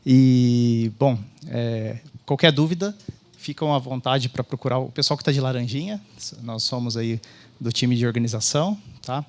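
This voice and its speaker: A man's voice: medium pitch, normal speed, darkish tone. A man with a Brazilian accent, an event organizer giving an informal welcome speech as host.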